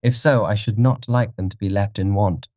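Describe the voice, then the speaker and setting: A man voicing an anxious, imperious young gentleman with an intense manner, for an audiobook. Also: lowish voice, lively intonation, moderate pace, no room sound, voice pushed up, natural voice. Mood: commanding. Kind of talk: audiobook character voice.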